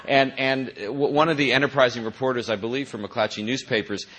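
A man, a journalist, answering interview questions in a halting way, with many restarts and a dry, wry edge. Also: mid-range voice, fairly even intonation, a bit bright, poor audio. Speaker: journalist and author.

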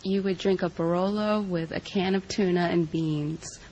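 A woman's voice: lowish voice, fairly even intonation, normal speed, darkish tone, highs cut off, slight background noise. A woman hosting an interview, asking chatty, friendly questions. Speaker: interviewer, host. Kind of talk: casual interview.

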